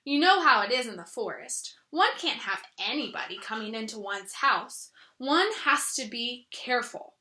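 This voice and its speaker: A woman's voice: on the high side, animated, moderate pace, few pauses, pushed and bright. A woman voicing a fussy, cautious male rabbit who speaks primly. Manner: prim and evasive.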